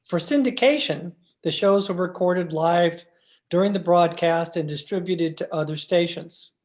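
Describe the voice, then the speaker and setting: A woman announcer with a fairly low voice reads a scripted introduction. She presents in the formal manner of old-time radio. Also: a little slow, a little mellow.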